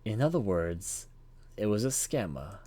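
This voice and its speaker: A man's voice: on the low side, sing-song, a little slow, warm tone. A man reading a visual novel aloud as a let's play commentator, telling it dramatically and sometimes stumbling over words. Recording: music behind the voice. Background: visual novel music.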